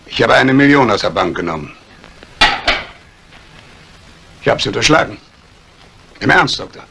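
An actor playing a scheming embezzler in a 1950s radio crime drama, calculating and menacingly polite. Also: male voice, medium pitch, animated, fast talker, vocal fry, background noise. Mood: sly, confiding. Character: cold, scheming embezzling banker plotting murder.

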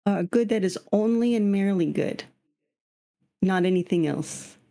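A woman leads a reading discussion over a video call, her voice a little scratchy with some gravelly fry. She sits at a mid pitch and explains things patiently, often turning a point back to the group as a question. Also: moderate pace, dark tone. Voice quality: slightly scratchy voice. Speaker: study group leader. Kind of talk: leading an online reading discussion.

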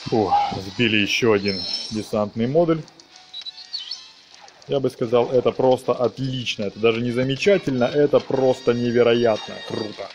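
A man narrates his video game in a casual let's play style. He talks along with the action playfully, in a mid-pitched voice. The recording is clearly noisy, with game sounds behind him. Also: normal speed. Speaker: gameplay commentator.

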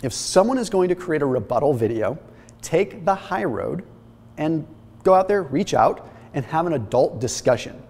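Energetic man explaining lab results, reverby room. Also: fairly high pitch, moderate pace. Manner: energetic, explanatory, emphatic, gesturing while holding papers. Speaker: health and fitness channel host. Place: indoor kitchen studio set.

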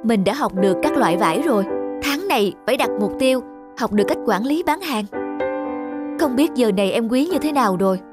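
A woman voicing a character in an animated folk tale. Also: a little high, brisk, over music, natural voice. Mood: earnest.

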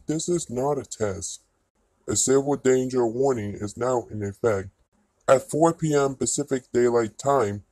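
A man's voice: medium pitch, darkish tone, no echo, rough recording. Stiff synthetic male alert announcer, dry sound. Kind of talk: formal scripted emergency broadcast announcement.

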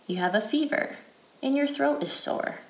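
An American woman reading a picture book aloud to children as an educator, phrasing deliberately in a guided read-aloud style. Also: high-pitched, animated. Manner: deliberate, clearly phrased, asks questions. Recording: roomy indoor echo.

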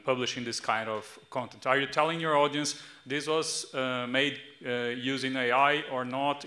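A man in the audience asking the panel a question, pausing with fillers. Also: medium pitch, bright, forceful tone, reverberant. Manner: hesitant, with pauses and fillers.

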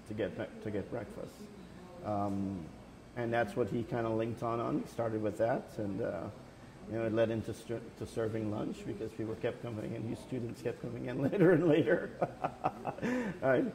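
A man's voice: fairly low pitch, vocal fry, mellow, slight background noise, poor audio. A middle-aged man from Guyana, a cafe co-owner, chatting casually in an interview. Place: indoors in a restaurant dining room. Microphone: lapel.

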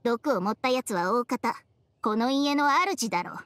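Haughty, high-pitched snake spirit. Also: female voice, expressive, moderate pace, fairly bright tone, no echo. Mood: sharp, suspicious. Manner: blunt, haughty, scolding. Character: proud, snippy ancient female talking snake spirit. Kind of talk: anime game voice acting. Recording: studio voice over background music.

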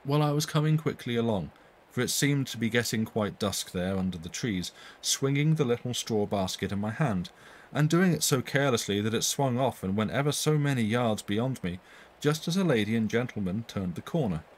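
A man's voice: mid-range voice, lively intonation, brisk, lightly acted. A man voicing an earnest, contradictory young woman, a governess in a storybook tale. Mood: recounting.

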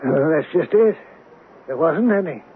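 High-pitched, flustered company president. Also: male voice, animated, unhurried. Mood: troubled. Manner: hesitant, anxious, defensive businessman. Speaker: actor in a radio mystery drama. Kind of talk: 1970s radio drama acting. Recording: tinny, noisy old radio recording.

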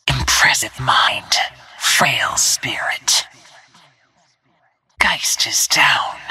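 A whispering, cold-blooded game assassin. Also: female voice, rumbling low, no echo, exaggerated voice. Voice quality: breathy, whispery, husky. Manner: slow, hushed, controlled, threatening. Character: cold, menacing female assassin who stalks her targets. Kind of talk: scripted video game character barks.

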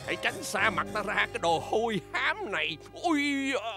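A woman's voice: on the high side, sing-song, moderate pace. A woman voicing a hot-tempered, scheming old male wizard in a cartoon dub, scolding and exclaiming. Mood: irritated. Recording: loud music behind the voice. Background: loud music.

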